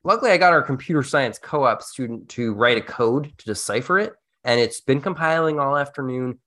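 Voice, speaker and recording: A podcast host presenting scripted material in an animated, conversational way. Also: medium pitch, brisk.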